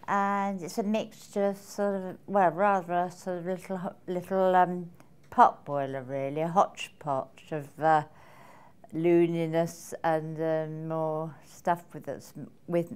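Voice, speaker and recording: A middle-aged woman giving a personal testimonial in a halting, reflective, wordy way. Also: on the low side, a little mellow, smooth. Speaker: seminar attendee giving a testimonial.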